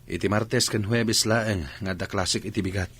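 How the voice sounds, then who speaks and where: A man acting as an eager young Ilocano student talking respectfully in a radio drama. His voice is fairly low and husky. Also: steady tone, normal speed, fairly bright tone. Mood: eager, polite.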